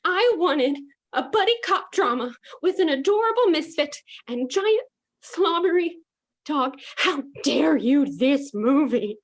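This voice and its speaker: A woman's voice: sits high, animated, a little slow. A woman narrating a comedic radio play with mock drama and sass.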